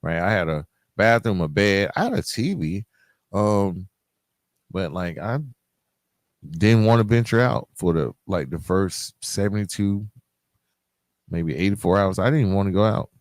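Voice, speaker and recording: A man's voice: low-pitched, lively intonation, a little slow, pauses often, no room sound, studio quality. A middle-aged man hosting a podcast, talking informally and directly to his listeners in a conversational solo monologue. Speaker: podcast host.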